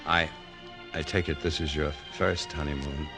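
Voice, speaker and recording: A man's voice: very deep voice, fairly even intonation, moderate pace. An actor playing a troubled, sardonic amnesiac man in a classic American radio drama, with a wry, edgy delivery. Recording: old radio broadcast, prominent orchestral music.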